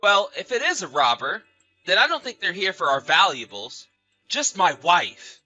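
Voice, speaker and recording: Man acting a sharp, bitter betrayed husband. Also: sits high, sing-song, moderate pace, soft background music, full character voice, low-quality recording. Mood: suspicious, sarcastic. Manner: sarcastic, accusatory. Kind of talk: acted audio story dialogue and narration.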